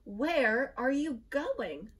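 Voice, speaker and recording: A woman's voice: sits high, big pitch swings, very slow, fairly bright tone, slightly rough, distant mic, voice pushed up, full character voice. A woman voicing a friendly, curious storybook hen with emphatic, sing-song questions. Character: friendly, curious hen eager to join along.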